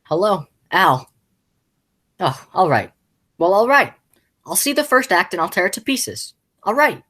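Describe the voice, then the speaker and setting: A teenage girl playing a flustered, exasperated man in a school radio play, stammering and halting as she speaks. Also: lowish voice, sing-song, fairly bright tone, exaggerated voice. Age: teens. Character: flustered, exasperated male theater critic and nephew.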